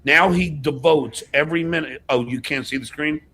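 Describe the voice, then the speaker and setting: A man's voice: fairly high pitch, moderate pace, bright, forceful tone. A man hosting a livestream in casual chat, blunt and often swearing. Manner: profane, blunt. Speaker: host of a livestream show.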